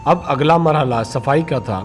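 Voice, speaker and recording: A man reading a scripted moral story for children as a storyteller, in a measured read-aloud manner. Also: a little high, slight background noise.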